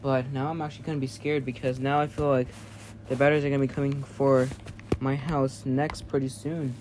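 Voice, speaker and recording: A man improvising an amateur emergency-alert parody story in a rambling, halting, excitable way. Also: medium pitch. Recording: noisy amateur home recording.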